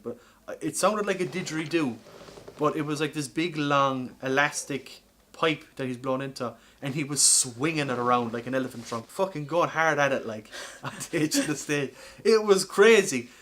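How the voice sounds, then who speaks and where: A man hosting a casual comedy podcast, talking in an animated, teasing way with some swearing. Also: a little high, a little hiss or hum. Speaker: podcast host.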